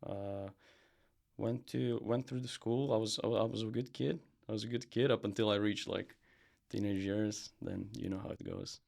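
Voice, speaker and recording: A young man from Serbia, a podcast guest and brand founder, chatting casually in a relaxed, rambling way with lots of fillers and restarts. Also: on the low side, moderate pace, vocal fry. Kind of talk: casual interview chat.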